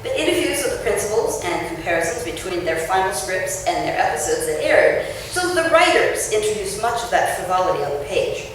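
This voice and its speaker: A woman author giving an expressive, emphatic public reading of a written essay at an event, with clear phrasing. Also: medium pitch, brisk, hardly any pauses. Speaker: author reading her essay at a book launch. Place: indoor launch-party event space. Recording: roomy echoing event space, distant mic, faint noise.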